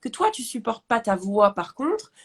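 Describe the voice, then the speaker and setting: A woman's voice: on the high side, big pitch swings, moderate pace, husky. A woman business coach with a nasal voice, talking casually and animatedly about confidence and speaking up. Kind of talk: casual chat on a live stream.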